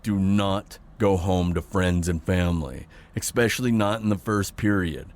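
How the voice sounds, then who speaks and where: A man playing an authoritative police chief in an audio roleplay, speaking in a measured, formal, matter-of-fact briefing style. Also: sits low. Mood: businesslike, firm.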